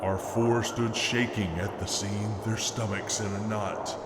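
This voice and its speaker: A man's voice: fairly low pitch, flat delivery, unhurried, very noisy. A man gives a dramatic, theatrical reading of a spooky narrative poem, leaning into the rhythm and rhyme as storyteller. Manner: theatrical, rhythmic rhyming delivery. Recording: long reverb with music behind.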